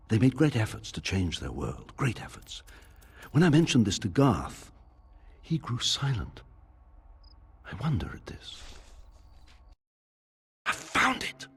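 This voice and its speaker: A brooding nobleman consumed by grief and obsession, reading from his private diary in a fantasy game drama. His voice is very low and heavily husky, full of breath. The theatrical, deliberate phrasing swings widely in pitch as his tyrannical madness shows through. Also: heavily acted. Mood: brooding, weary, then feverish triumph. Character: grieving, obsessive lord descending into tyrannical madness. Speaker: voice actor.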